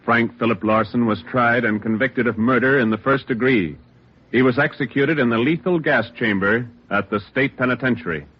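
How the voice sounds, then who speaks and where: A man's voice: medium pitch, moderate pace, natural voice. A male announcer reading formally and with authority in a scripted 1940s radio style. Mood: formal, solemn. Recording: muffled old radio broadcast.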